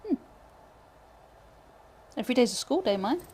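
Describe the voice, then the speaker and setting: A woman from Britain, teaching pyrography live, chatting and thinking aloud with many fillers while she works, her voice going a little hoarse. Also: fairly high pitch, lively intonation, moderate pace, warm tone. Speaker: pyrography instructor. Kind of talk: live tutorial chat while working.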